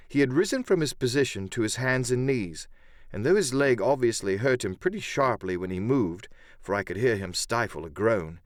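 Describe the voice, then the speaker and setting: Male narrator, mid-pitched, expressive range, dramatic scripted storytelling for a seafaring adventure audiobook, close dry sound. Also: dry recording.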